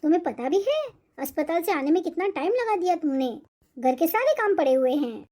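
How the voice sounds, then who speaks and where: A woman's voice: very high-pitched, very animated, fairly quick, mellow. A woman voicing a nagging older mother-in-law in a cartoon family drama, with a complaining manner. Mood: scolding. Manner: scolding, complaining.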